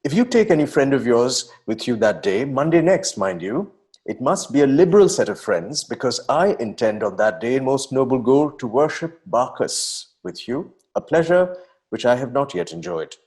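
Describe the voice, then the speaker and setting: A man's voice: mid-range voice, big pitch swings, moderate pace, darkish tone. A man acting a melodramatic, effusive young poet. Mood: effusive, dramatic. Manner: florid, impassioned, declamatory. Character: effusive, melodramatic young 19th-century male poet writing letters. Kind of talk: theatrical dramatic letter reading.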